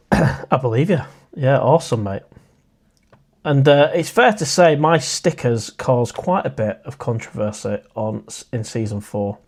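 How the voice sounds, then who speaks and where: A man's voice: medium pitch, expressive, a little slow, pauses often, a little mellow. A man, hosting a casual live stream and chatting in a friendly way as he reads out viewer comments. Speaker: livestream host.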